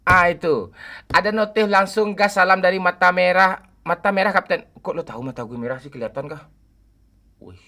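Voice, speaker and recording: A man's voice: high voice, fairly quick, pushed and bright. A man in his forties on a game livestream, greeting viewers by name and joking with the chat. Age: 40s. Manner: joking, teasing banter with chat. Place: home room at night.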